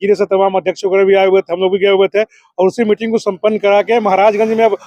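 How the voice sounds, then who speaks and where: A man's voice: high voice, little pitch movement, quick pace, darkish tone, no room sound, dull audio. A man answering as a political activist, oratorical, building his points in repeated phrases and lists. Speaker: political activist, district committee member.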